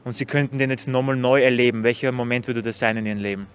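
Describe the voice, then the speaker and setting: A man's voice: mid-range voice, fairly even intonation, fast, clear, resonant tone. Upbeat young man interviewing on the street. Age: young adult. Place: city street outdoors. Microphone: handheld microphone.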